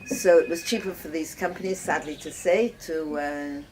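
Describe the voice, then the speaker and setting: An older woman from Wales telling her life story casually, reminiscing in a halting, conversational way. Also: sits low, darkish tone. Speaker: host of guest lodging, farmer's wife. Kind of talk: casual personal storytelling to guests. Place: informal talk to visitors, filmed by a guest. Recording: noisy ambient home video.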